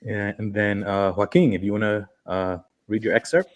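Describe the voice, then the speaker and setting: A man moderating a book festival panel, casual and hesitant, full of ums and restarts. His voice sits a bit low, steady and conversational. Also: moderate pace. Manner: hesitant, filler-heavy.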